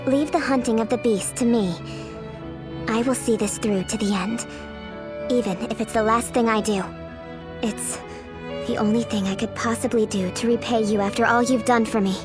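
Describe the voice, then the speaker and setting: A woman acts a determined young female assassin in a video game cutscene. Her voice sits fairly high, earnest and formal with a devoted air, and music plays clearly behind it. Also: fairly even intonation, normal speed, pauses often, natural voice. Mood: earnest, resolute.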